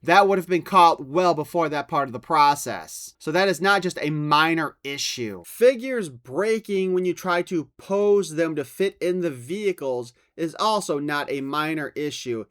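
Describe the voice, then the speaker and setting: A man's voice: fairly high pitch, lively intonation, a little slow, hardly any pauses, a bit bright. A man giving blunt, sarcastic commentary in an irritated, sweary manner.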